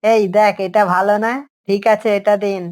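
A woman's voice: mid-range voice, little pitch movement, normal speed, a little mellow, natural voice. A woman voicing a cocky, scheming fox in a cartoon story. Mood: eager. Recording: music under the voice. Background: background music.

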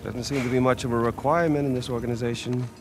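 A man playing a middle-aged, sardonic and flustered treasure hunter in a video game, with a gravelly voice. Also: mid-range voice, fairly even intonation, fast, over music, natural voice. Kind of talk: cinematic video game acting.

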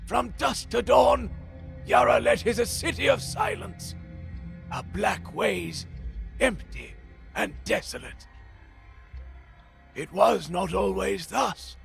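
An actor playing a frail, cursed philosopher in a fantasy audio drama, solemn and theatrical, with a gravelly, husky voice. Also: male voice, high voice, very animated, slow, pauses often, fairly bright tone, faint music behind, full character voice. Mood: ominous storytelling. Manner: theatrical, portentous, archaic phrasing.

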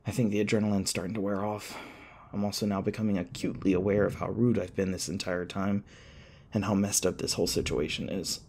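A man playing a shaken, apologetic young human in an audio roleplay, speaking hesitantly with stammers and halting phrases. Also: low voice, little pitch movement, vocal fry, a little mellow, slight background noise. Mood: weary, uneasy. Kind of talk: ASMR audio roleplay, naturalistic acting.

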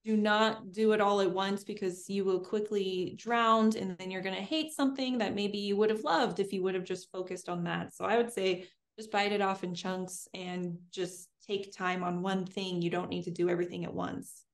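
Young woman guest, chatty and upbeat, mid-pitched voice. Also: steady tone, moderate pace, few pauses. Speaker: guest, homesteader and streamer. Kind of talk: casual interview answers. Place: indoors in front of a green screen.

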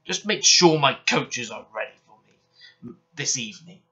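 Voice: mid-range voice, animated, moderate pace, fairly bright tone, dull audio, natural voice. Storyteller doing a blustering, furious big steam engine. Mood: cross. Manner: stern, blustering, spluttering. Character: big, pompous, bad-tempered male express engine.

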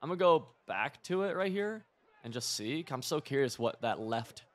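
A hoarse young man giving rambling, excitable live commentary over a football video game, mixing coaching tips with side stories. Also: medium pitch, normal speed. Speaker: gaming video creator.

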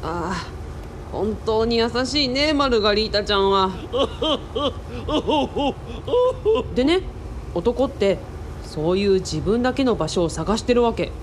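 A woman playing a boyish, excitable young man in a radio drama, speaking casually and exclaiming often. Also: fairly high pitch, takes it slowly, pauses often, slight background noise. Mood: friendly, sheepish. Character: boyish, excitable young male sidekick, Kaoru.

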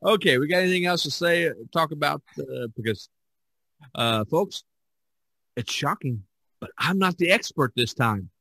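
A man's voice: fairly high pitch, expressive, normal speed, creaky, sharp tone, husky, dry recording. A man hosting a podcast in a casual, rambling and jokey way. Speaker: podcast host. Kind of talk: casual chat about painting.

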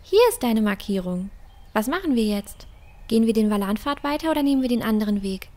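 A woman playing a bold, high-voiced young girl explorer. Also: lively intonation, brisk, a little mellow, close to an everyday voice. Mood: determined. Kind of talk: fantasy audio drama acting.

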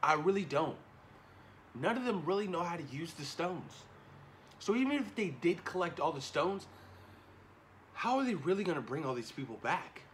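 A man in his thirties chatting casually and excitedly to camera, slangy and repeating himself for emphasis, as a reaction video host. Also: a little high. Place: small indoor room at home. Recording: noticeable background noise, home camera recording.

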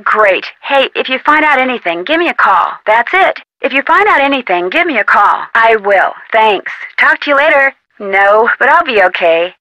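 A woman's voice: a little high, very animated, moderate pace, hardly any pauses, pushed and bright, no room sound, rough recording. A young woman with an American accent playing a friendly, inquisitive amateur detective in an adventure game, chatty and polite. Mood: casual, friendly. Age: young adult. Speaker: video game character voice actor. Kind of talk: scripted adventure game dialogue, phone-call delivery.

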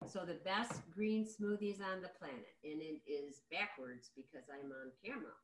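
A woman's voice: lowish voice, moderate pace, background noise. A middle-aged woman giving an informal, conversational cooking demonstration over a video call. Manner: conversational, explanatory. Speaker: cooking demo presenter. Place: home kitchen, indoors. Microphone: video call, device across the counter. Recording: roomy, echoey video-call sound.

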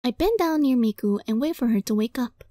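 High, animated woman reading a visual novel. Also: fairly quick, no echo, clean studio sound. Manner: giggly, flustered, excitable. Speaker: let's play streamer reading a visual novel aloud. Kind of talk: dramatic read-aloud with playful reactions. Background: music.

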